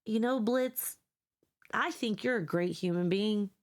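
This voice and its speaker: A woman's voice: medium pitch, unhurried. A young woman streaming live, talking casually to her viewers. Her voice is scratchy and sleepy, and she is blunt and sarcastic, swearing freely and repeating herself as she goes.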